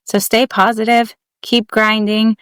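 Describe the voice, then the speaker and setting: Young woman guest from the US, chatty, bright and clear voice, slightly high. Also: level tone, measured pace, no room sound, studio quality. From United States (Houston, Texas). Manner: chatty, full of "like" and "you know". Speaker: interview guest. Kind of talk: casual conversation.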